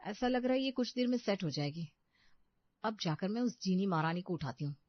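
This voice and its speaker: A woman's voice: mid-range voice, expressive, brisk, no room sound, dull audio, natural voice. A woman voicing an eager, earnest young housewife in a dramatized moral story. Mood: pleased, determined.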